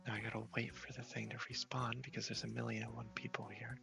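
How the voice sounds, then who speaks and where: A man giving soft, whispery ASMR commentary over a game, casually thinking aloud in a low-key way. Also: level tone, fast talker, music in the background. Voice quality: soft, breathy, often whispery. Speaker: gaming video host.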